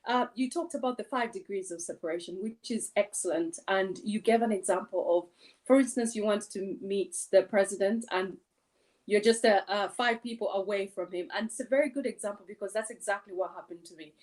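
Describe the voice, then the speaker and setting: A woman's voice: mid-range voice, a little fast, a little mellow. A woman hosts an online interview. Her voice is mid-range and she asks her guest questions in a conversational way. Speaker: talk show host.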